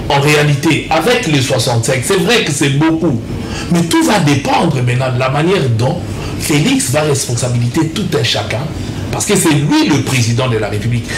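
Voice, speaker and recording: A man's voice: a little high, reverberant, noisy background. A young man from Congo, an outspoken and emphatic political guest in a televised interview. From Democratic Republic of the Congo.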